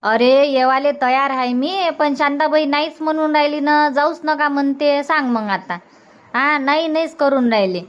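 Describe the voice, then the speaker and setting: Older village mother for cartoon comedy, played by a woman: high-pitched, mild, plaintive, Varhadi Marathi accent. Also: steady tone, moderate pace, close to an everyday voice. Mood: helpless. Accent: Varhadi Marathi from Vidarbha.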